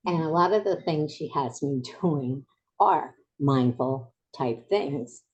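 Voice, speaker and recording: A woman in her seventies hosts a podcast interview. Her voice sits low and sounds soft and dark. She talks in a chatty way and laughs easily at herself. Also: slow pace. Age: 70s. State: recovering from voice loss. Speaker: podcast host.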